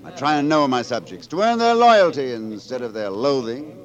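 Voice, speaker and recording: An actor in a 1940s radio drama playing a swaggering, boastful vagabond poet with a florid, theatrical delivery. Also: male voice, medium pitch, sing-song, normal speed, dull audio, natural voice. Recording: vintage 1940s radio broadcast.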